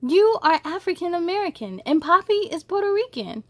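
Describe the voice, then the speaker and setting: A woman plays a sensitive young schoolgirl in a storybook, high and earnest. The words come out emphatic and eager, in a dry recording. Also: sing-song, fairly quick, no room sound, full character voice. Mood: worried, upset.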